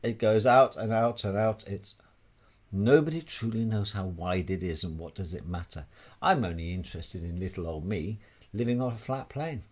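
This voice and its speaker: Low-pitched man, emphatic monologue. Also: moderate pace, a little mellow. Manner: emphatic, repetitive, rhetorical questions. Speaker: amateur presenter. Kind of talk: informal explanatory monologue.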